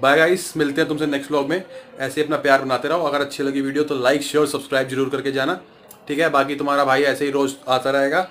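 A man's voice: mid-range voice, level tone, fast, a bit bright. A young man in his twenties chatting casually to his phone camera as a vlogger, leaning on fillers. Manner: chatty, repeats "theek hai" as a filler. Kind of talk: casual vlog chat. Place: small indoor room. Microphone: phone camera at arm's length. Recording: noisy street while riding.

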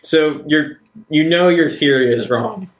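A man's voice: fairly high pitch, unhurried, echoey room, poor audio. A man playing a tabletop role-playing game, improvising casually.

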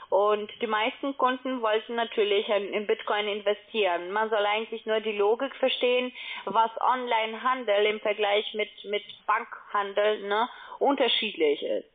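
Wordy non-native woman on a phone line. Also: mid-range voice. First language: not German. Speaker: call-centre agent selling online trading. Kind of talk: phone sales pitch. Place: phone call. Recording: tinny, band-limited phone line.

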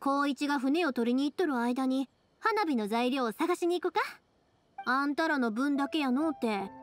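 High-pitched chatty Kansai young woman. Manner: talkative, friendly, animated. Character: cheerful chatty young woman, fireworks maker. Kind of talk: anime video game voice acting.

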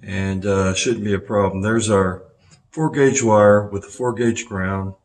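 A man's voice: on the low side, steady tone, unhurried, darkish tone. A middle-aged man hosting a casual hands-on car audio vlog, thinking aloud as he goes. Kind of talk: casual hands-on install and review talk. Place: garage, car and house interior. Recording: roomy echo.

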